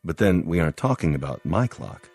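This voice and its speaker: An actor playing a reasonable, measured male doctor in a radio drama, polite, tactful and probing. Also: low-pitched, very animated, a little mellow, husky, full character voice.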